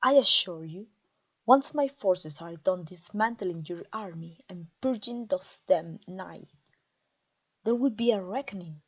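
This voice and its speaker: A woman voicing an imperious, vengeful vampire queen in a fantasy audio roleplay, taunting a captive with theatrical, deliberate pauses. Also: fairly low pitch, lively intonation, slightly rough, lo-fi sound, full character voice. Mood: menacing, righteous. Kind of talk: scripted ASMR fantasy roleplay audio drama.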